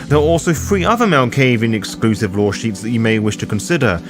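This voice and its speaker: A man's voice: medium pitch, fast. A man narrating a scripted roleplaying guide as its host, theatrical and formally worded, with playful asides. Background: background music.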